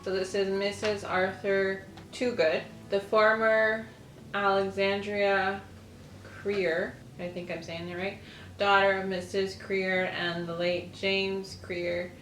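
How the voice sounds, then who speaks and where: A woman's voice: medium pitch, little pitch movement, slow, fairly bright tone, a little hiss or hum. A woman, hosting a casual video, chatting and reading aloud. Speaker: host of a lifestyle video channel. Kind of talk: casual chat with reading aloud from an old newspaper. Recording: roomy echo, faint music underneath.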